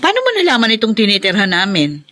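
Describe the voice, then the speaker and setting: A woman's voice: medium pitch, wildly swooping pitch, fast, pushed and bright. A woman playing a wary, suspicious middle-aged mother in a Filipino radio drama.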